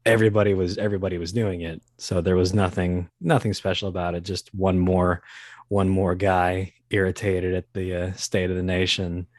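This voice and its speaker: A man with a low voice, recorded close and dry, talks casually as an interview guest. He is halting and modest about himself, often restarting as he searches for words. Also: flat delivery, no echo. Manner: hesitant, self-deprecating, halting. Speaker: podcast guest, voice performer.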